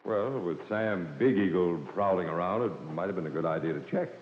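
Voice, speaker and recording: Male, lowish voice, actor playing testy, suspicious camper in vintage radio mystery; long echo. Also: a little fast, lightly acted. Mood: uneasy. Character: irritable, suspicious male camper, quick to quarrel. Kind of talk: old-time radio drama acting. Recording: noisy, dull, echoey old radio recording.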